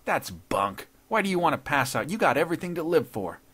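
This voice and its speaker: A man voicing a gruff, vengeful escaped convict in a story, with rough, slangy, impatient tough talk. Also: lowish voice, moderate pace, fairly bright tone.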